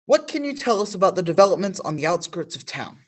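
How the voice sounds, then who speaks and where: A man playing a nervous male field reporter in a school radio play, halting and full of fillers. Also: high voice, very animated, a little hiss or hum. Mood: flustered.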